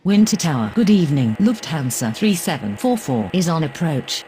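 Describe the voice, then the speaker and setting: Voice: medium pitch, big pitch swings, normal speed, mellow, slight background noise, close to an everyday voice. A voice actor playing an airline pilot, speaking in formal radio phrases.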